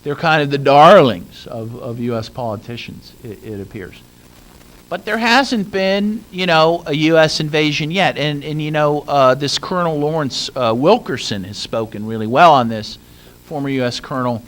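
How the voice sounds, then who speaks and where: A man's voice: fairly high pitch, moderate pace, rough recording. A man speaking on a conference panel, earnest and with frequent fillers and restarts. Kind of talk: conference talk. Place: conference room.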